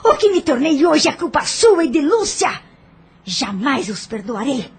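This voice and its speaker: A woman's voice: high-pitched, big pitch swings, unhurried, full character voice. An actress playing a bitter, vengeful woman who threatens and taunts a former lover, in an audio drama. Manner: threatening, spiteful, scornful.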